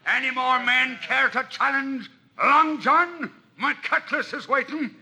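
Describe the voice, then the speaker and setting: Pirate captain for a story record: piercingly bright, gravel-throated, cunning and domineering, bellowing sea-dog speech. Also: male voice, extremely high pitch, measured pace, exaggerated voice. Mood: commanding, fierce. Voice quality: gravelly, forceful. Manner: bellowing commands in old seafaring pirate talk. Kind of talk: dramatized adventure story record. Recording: noisy old vinyl record.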